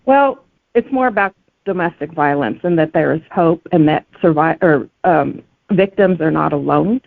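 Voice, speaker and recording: A woman's voice: low voice, expressive, normal speed, vocal fry, no room sound. A woman author being interviewed, talking earnestly and conversationally over a tinny phone-like connection. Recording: dry, tinny phone-line sound.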